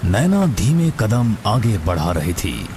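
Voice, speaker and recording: A man narrating a scripted animated horror story. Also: fairly low pitch, slow pace, noisy background. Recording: loud music and storm effects behind.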